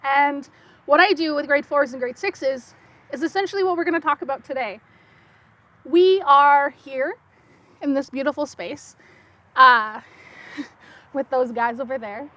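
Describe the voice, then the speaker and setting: A young woman speaking English with a slight French accent, giving an excitable conference talk with quick bursts and many fillers. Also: sits high, no echo. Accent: French-tinged Canadian English. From Saskatchewan, Canada. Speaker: guest speaker.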